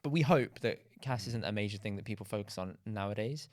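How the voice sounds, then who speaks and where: Fast-talking man telling stories. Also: on the low side. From England. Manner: animated, acts out quoted dialogue. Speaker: podcast guest. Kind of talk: casual storytelling.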